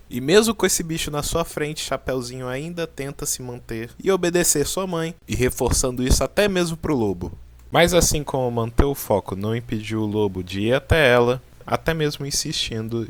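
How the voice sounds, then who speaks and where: A man with a Brazilian accent gives casual explainer commentary, informal and pausing for drama. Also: mid-range voice. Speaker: video creator and narrator. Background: background music.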